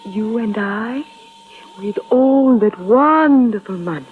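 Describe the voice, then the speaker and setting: Slow, coaxing radio femme fatale. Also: female voice, on the high side, animated. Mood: seductive pleading. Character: seductive female schemer, pleading and coaxing. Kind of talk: old-time radio drama acting. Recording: noisy, humming, phone-like old radio recording.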